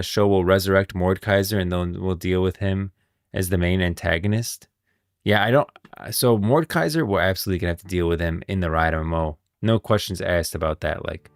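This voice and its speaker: A man's voice: low-pitched, a little fast, no room sound, clean studio sound. A man giving casual, opinionated live-stream commentary, thinking aloud with emphasis.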